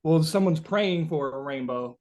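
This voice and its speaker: Musician dude in an interview, slightly high voice, rambly, keeps searching for words. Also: male voice, a little mellow. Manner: halting, searching, rambling explanations. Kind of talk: casual interview chat.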